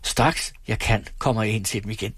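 A man's voice: on the low side, fairly even intonation, breathy edge, no room sound. A man doing a soothing, firm nurse. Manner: gently reassuring but firm.

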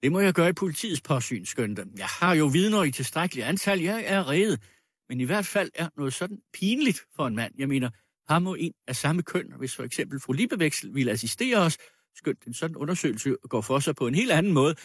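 A man voicing a pompous, sanctimonious gentleman in a storybook reading, with formal oratory. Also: a little high, expressive, breathy edge, no room sound, studio quality, voice pushed up. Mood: indignant, blustering. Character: pompous, sanctimonious gentleman accuser, self-righteous and smooth-talking.

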